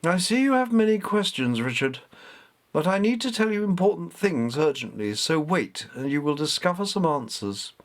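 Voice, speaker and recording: A man's voice: a little high, sing-song, moderate pace, pitched above the performer's own voice. Commanding ancient feline goddess, formal and stately, read by a man in a storybook voice.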